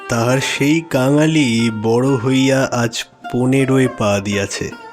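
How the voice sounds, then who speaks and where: A man narrating a classic literary story in a measured, expressive, dramatic way. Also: medium pitch. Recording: music behind the voice.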